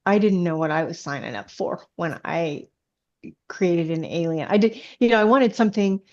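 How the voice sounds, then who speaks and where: A woman's voice: fairly low pitch, moderate pace, darkish tone, dull audio. A woman speaking as an interviewed author, chatting informally and telling stories with frequent asides. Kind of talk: casual conversational interview.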